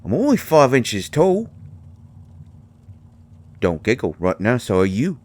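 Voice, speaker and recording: A man playing a tiny, gruff but shy and caring potion-brewing healer in an audio drama, with a mottled British accent and a halting, stammering delivery. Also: mid-range voice, wildly swooping pitch, a little mellow, exaggerated voice. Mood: reassuring, flustered. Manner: halting, stammering, mumbling asides. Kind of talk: intimate roleplay audio drama monologue.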